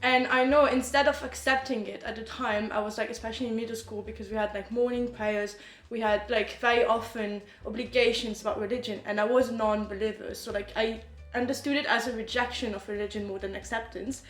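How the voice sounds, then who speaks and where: A woman's voice: fairly high pitch, fairly even intonation, brisk, echoey room, faint music behind. A woman from France hosting a podcast, reading a scripted welcome and then chatting casually with guests. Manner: reads a bright scripted welcome, then asks questions with many "like"s. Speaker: podcast host.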